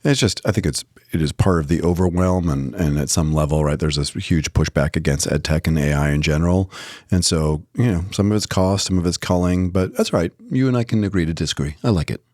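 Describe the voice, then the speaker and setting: A man's voice: low-pitched, brisk, a little mellow, no echo, studio quality. A man co-hosting a podcast, chatting casually about the news with jokey asides. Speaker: podcast co-host.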